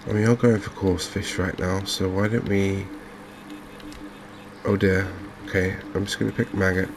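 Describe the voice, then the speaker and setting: A man speaking softly and unhurriedly in an ASMR style, murmuring his way through video game commentary as a streamer. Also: sits low, level tone, noisy background. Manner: soft-spoken, unhurried, murmuring to himself.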